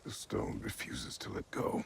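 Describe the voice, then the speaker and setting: A man voicing a huge, stern, stoic older warrior god in a video game, gravelly and terse. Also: a little slow, close to an everyday voice. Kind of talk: dramatic video game cutscene acting. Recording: roomy echo with music behind.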